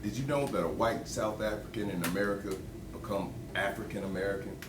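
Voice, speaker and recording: Man reading as emphatic man debating history, low-pitched, echoey. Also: fairly quick, close to an everyday voice. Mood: explanatory. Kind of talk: script table read of docudrama dialogue. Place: room at a table read. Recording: roomy, distant mic, noisy background.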